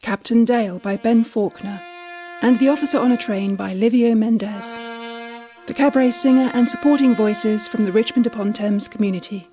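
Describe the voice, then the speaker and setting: A woman's voice: fairly high pitch, normal speed, mellow. A woman announcer reading scripted closing credits for an audio drama. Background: music.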